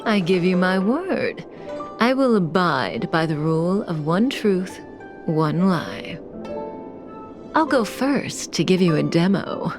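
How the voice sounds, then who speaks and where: A woman's voice: lowish voice, very animated, slow pace. A woman voicing a cool, mysterious, teasing manipulator in a video game cutscene, composed and in no hurry. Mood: teasing, calm. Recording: music bed behind the voice.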